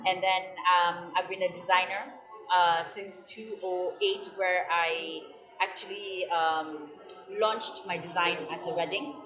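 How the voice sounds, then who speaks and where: A woman from Kenya, chatting as a guest in an interview, cheerful and quick to laugh. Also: fairly low pitch, steady tone, a crowd in the background. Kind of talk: casual interview chat. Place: podcast studio room. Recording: echoey room.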